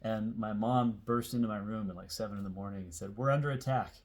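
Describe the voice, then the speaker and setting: A man's voice: fairly low pitch, steady tone, fast talker. An American man in his forties tells a personal story in a casual, conversational way. His delivery is informal and rambling, as if talking to viewers he knows. He puts extra emphasis on key words as he goes. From Seattle area, United States. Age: 40s. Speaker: host telling a personal story.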